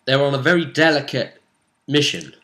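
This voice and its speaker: Bright-voiced British game master, a man. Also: medium pitch, expressive, a little fast. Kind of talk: casual recap of a tabletop role-playing session.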